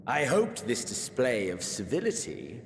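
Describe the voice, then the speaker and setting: An actor playing a menacing, obsessive princely villain in a video game, brooding and aristocratic, moving between whispers and intensity. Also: male voice, medium pitch, huge pitch swings, a little slow, a little hiss or hum. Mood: quiet menace. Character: menacing, obsessive princely male villain craving a worthy battle. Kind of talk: dramatic video game cutscene acting. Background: background music.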